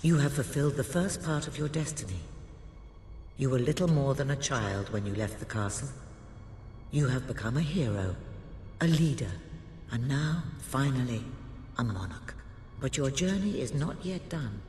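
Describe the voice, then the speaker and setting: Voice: mid-range voice, lively intonation, moderate pace, a little mellow, natural voice. An actor playing a solemn, cryptic mentor in a video game, speaking prophecies of doom. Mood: grave, portentous. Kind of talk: dramatic video game cutscene dialogue. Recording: echoey hall reverb, music behind.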